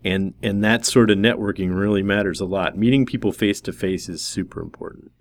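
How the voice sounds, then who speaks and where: A man's voice: fairly low pitch, a little fast, a little hiss or hum. A man in his forties hosting a podcast, chatting casually and joking easily with his guest. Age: 40s. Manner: relaxed and joking, laughs easily. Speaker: podcast host. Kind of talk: casual interview chat.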